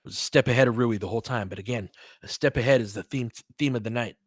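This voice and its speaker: A man's voice: mid-range voice, quick pace, no echo, studio quality. A man doing informal live basketball play-by-play, mixing short clipped calls with conversational coaching analysis and fan-like asides.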